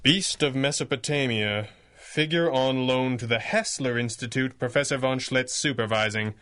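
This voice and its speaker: An actor playing a commanding masked hero in a 1930s-style radio drama, speaking in a formal, dryly wry manner. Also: male voice, medium pitch, moderate pace, a bit bright, smooth, natural voice. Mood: grave, brooding.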